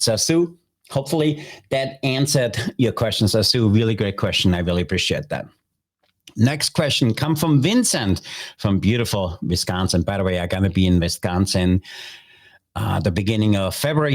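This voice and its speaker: A middle-aged man from Austria with an Austrian German accent, a chef hosting a podcast and answering listener questions in an earnest way. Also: mid-range voice. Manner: earnest, repeats phrases, non-native grammar.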